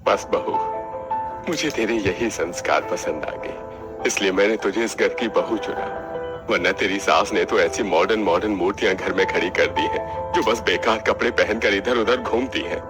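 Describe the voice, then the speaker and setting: A man plays a kindly old father-in-law in a cartoon family drama. His voice sits low and he speaks in an earnest, fatherly way, with faint music underneath. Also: normal speed, lightly acted. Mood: affectionate, grumbling. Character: kindly elderly father-in-law, affectionate toward his daughter-in-law.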